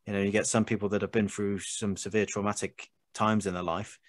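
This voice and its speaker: A British man with a low voice hosts a casual podcast chat. He speaks earnestly, wandering and repeating words as he thinks, in a dry recording with no room sound. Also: level tone, a little fast, no echo. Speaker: podcast host. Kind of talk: casual conversational interview.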